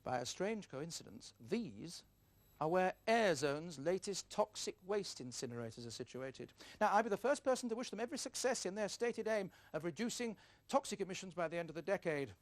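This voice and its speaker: Animated, outspoken actor as a male weatherman. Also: sits high, fairly quick, long pauses. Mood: sardonic. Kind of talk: screen drama acting.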